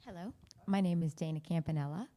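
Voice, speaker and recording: A woman's voice: fairly low pitch, fast talker, warm tone. A middle-aged woman, perhaps in her fifties, introducing herself to a networking group in a casual way.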